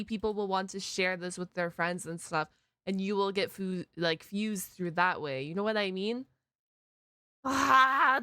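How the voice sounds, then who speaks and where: Bright, exclamatory woman reacting live. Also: mid-range voice, dry recording. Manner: exclamatory, repeats words, slangy. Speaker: reaction video host. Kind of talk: casual live reaction commentary to chat.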